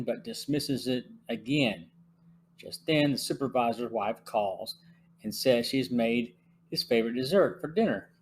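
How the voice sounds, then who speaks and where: A man's voice: medium pitch, a little hiss or hum. Man reading a plot summary. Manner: measured, reads from notes. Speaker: podcast host.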